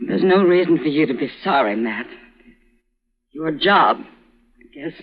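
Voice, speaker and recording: An actress playing a frontier saloon owner who speaks in a tender, emotional way, in a 1950s radio drama. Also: female voice, deep voice, lively intonation, measured pace. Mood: hurt. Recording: lo-fi old radio recording.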